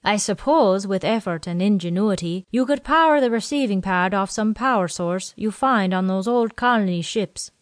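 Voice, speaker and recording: A woman's voice: medium pitch, normal speed, hardly any pauses, no room sound, lightly acted. A woman voicing a fussy, teasing male quartermaster with a wheezy, nasal voice, in a dry recording.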